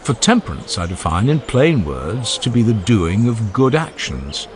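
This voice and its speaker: A man's voice: lowish voice, very animated, a little slow. A man voicing a proud, irritable older Athenian thinker in a dramatized audiobook, speaking formally and insistently. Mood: firm. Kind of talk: dramatized philosophical dialogue audiobook.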